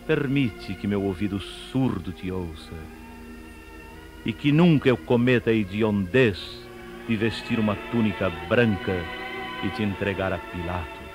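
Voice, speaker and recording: A man giving solemn, scripted devotional narration with reverent, measured, prayerful phrasing. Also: fairly low pitch, over music.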